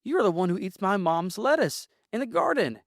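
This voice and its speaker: Storybook boy: young, eager, calling out high, voiced by a man. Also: big pitch swings, moderate pace, dry recording, clean studio sound. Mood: indignant.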